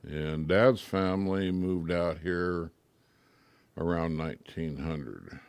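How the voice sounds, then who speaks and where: A man's voice: low voice, slow pace, creaky, darkish tone, smooth, no echo. An older man from rural Washington state in a casual radio chat, talking in a folksy, plainspoken way with short answers. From rural Washington state, United States. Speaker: radio show participant. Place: radio studio.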